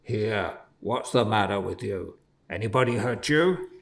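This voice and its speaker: A man voicing a gruff, unsympathetic goblin disguised as a noodle peddler, speaking roughly and curtly. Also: on the low side, animated, unhurried. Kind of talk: storybook reading.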